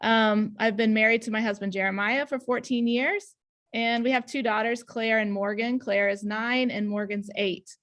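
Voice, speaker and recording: A woman's voice: on the high side, fairly even intonation, moderate pace, fairly bright tone. A woman in her thirties from Indiana, an ENL teacher giving a conference talk over a video call, earnest with frequent ums and restarts. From Indiana, USA. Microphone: webcam on video call.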